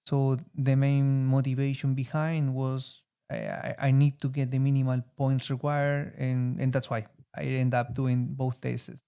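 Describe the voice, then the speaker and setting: Chilean man, smooth and mellow voice. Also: mid-range voice, completely flat delivery. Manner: halting, searching for words with frequent fillers. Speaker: guest, former student. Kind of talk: interview answers.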